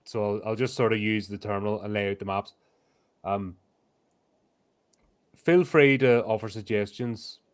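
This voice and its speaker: A man's voice: fairly low pitch, a little slow, long pauses, clear, resonant tone. A man playing a tabletop roleplaying game, casually planning and narrating his own character's actions.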